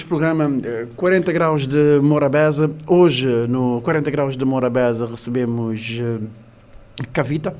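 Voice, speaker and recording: A man with a Cape Verdean Portuguese accent hosting a radio interview in the studio, often repeating words and filling pauses. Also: on the high side, darkish tone. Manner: halting, repeats words and uses fillers. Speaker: radio host.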